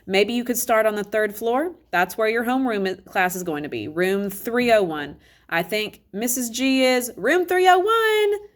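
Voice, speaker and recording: A woman's voice: fairly high pitch, animated, normal speed, voice pushed up, natural voice. Storybook school director, adult man, upbeat and welcoming, chatty delivery, read by a woman. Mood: chatty, friendly. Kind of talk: children's novel read aloud.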